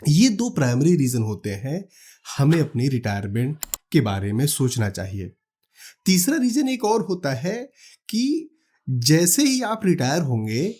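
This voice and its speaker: Calm Indian man explaining finance. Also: a little high, unhurried. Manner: calm, practical, walks through numbers step by step. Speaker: finance educator. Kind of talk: explanatory talk to camera.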